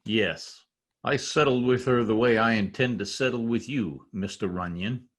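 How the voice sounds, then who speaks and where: An actor playing a cornered, murderous husband in formal, theatrical 1940s radio drama style. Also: male voice, mid-range voice, moderate pace, close to an everyday voice. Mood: menacing. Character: timid-looking murderous husband, indignant and cornered.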